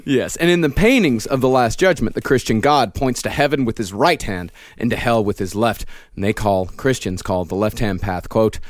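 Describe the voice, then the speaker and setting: A man hosting a podcast, reading prepared research in a measured, explanatory way. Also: lowish voice, dry recording. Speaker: podcast host presenting the research. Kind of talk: reading prepared notes between banter.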